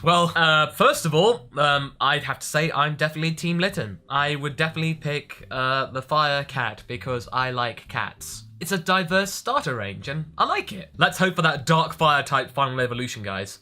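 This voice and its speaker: Animated, bright-voiced man vlogging. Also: fairly high pitch. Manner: enthusiastic, animated. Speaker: online vlogger. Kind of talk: casual question-and-answer vlog.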